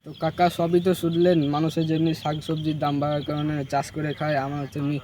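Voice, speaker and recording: A man's voice: a little high, monotone, fast, natural voice. A man voicing a scheming, cheeky young stoner in a comic cartoon, swearing and slangy. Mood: pleading, boastful. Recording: clearly noisy track with clicks and birdsong.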